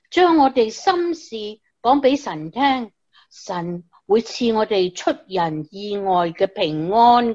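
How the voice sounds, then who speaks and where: A woman plays a devout, frank friend in a Christmas radio drama, earnest and encouraging. She speaks deliberately at a medium pitch with a Hong Kong Cantonese accent, on a dull recording. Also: darkish tone. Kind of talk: Christmas radio play dialogue.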